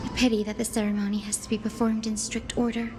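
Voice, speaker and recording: Woman acting a high-voiced, gentle young game heroine. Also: little pitch movement, quick pace, noisy background, natural voice. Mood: musing.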